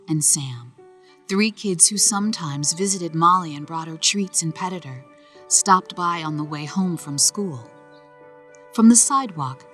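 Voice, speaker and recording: A woman narrator reading a children's story in a gentle, expressive storytelling manner. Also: deep voice.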